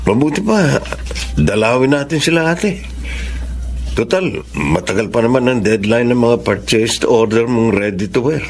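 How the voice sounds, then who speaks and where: Man as caring brother, average male pitch, concerned, considerate, natural radio drama dialogue. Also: expressive, moderate pace, natural voice. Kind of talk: Filipino radio drama acting.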